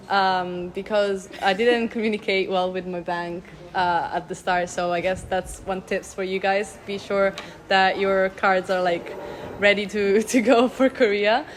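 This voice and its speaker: A woman's voice: medium pitch, fairly even intonation, measured pace, slight background noise. A young woman student giving friendly tips in a casual testimonial. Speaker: summer school student.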